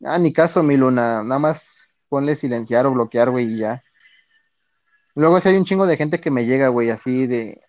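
A man's voice: mid-range voice, normal speed, a little mellow, no room sound. A man with a Mexican accent streaming, chatting casually with viewers in slangy, profane speech. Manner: slangy, profane, reads and answers chat. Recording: dull lo-fi dry sound.

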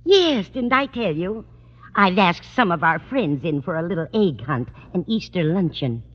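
A woman's voice: fairly low pitch, animated, normal speed. An actress playing a kindly, chatty, scatterbrained older landlady in a 1950s radio comedy, fussy and cheerful. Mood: chirpy. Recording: dull, faintly noisy old radio recording.

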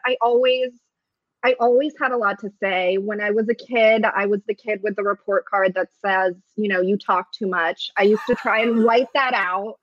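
A woman's voice: medium pitch, steady tone, moderate pace, no room sound, muffled recording. A woman comedian in her thirties, originally from Ukraine with Russian as her first language, chatting casually and joking as a podcast guest. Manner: joking, rambling, laughs often.

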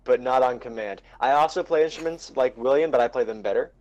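A man's voice: mid-range voice, fast, a little mellow, muffled recording. A young man in a casual podcast chat, making dry, cheeky jokes about himself. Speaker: podcast co-host.

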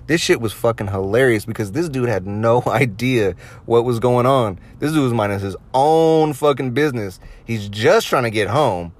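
A man's voice: mid-range voice, expressive, normal speed, few pauses. A man around thirty hosting a podcast, telling anecdotes casually with crude swearing and amusement at his own stories. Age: late 20s to early 30s. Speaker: podcast host. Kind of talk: casual anecdotal storytelling to listeners.

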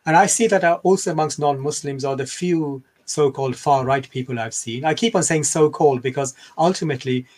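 An older man from Bangladesh, speaking as a scholarly interview guest in a measured, reflective, explanatory way. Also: a little high, darkish tone.

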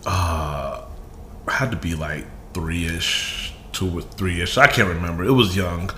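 A man in his forties whose first language is Yoruba, raised in Chicago, chatting as a podcast guest in a lively, joking way with many fillers. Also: deep voice, slow. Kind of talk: casual chat. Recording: echoey room with faint noise.

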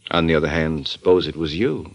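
An actor playing a suave private detective in 1940s radio style, trading dry, flirtatious wisecracks. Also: male voice, rumbling low, fairly quick. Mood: wry. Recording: dull old radio recording.

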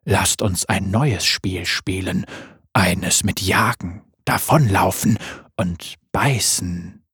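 A hungry wolf spirit, playful and predatory, drawling in a deep, slow growl below the narrator's own pitch. His voice is husky and very dry, as a male reader puts him on. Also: fairly bright tone, no echo, full character voice.

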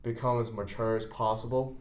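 A young man hosts a relaxed student podcast, talking informally and earnestly as if giving advice. The room around him is noticeably echoey. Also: mid-range voice, brisk. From China. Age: young adult. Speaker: podcast host, college student. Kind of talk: casual podcast chat. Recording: roomy echo.

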